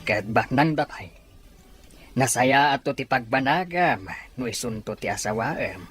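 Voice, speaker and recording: Man acting a lively, scheming old grandfather. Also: mid-range voice, measured pace, husky, soft background music. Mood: insistent, persuasive. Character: scheming elderly grandfather, insistent matchmaker for his grandson. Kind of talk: radio drama acting.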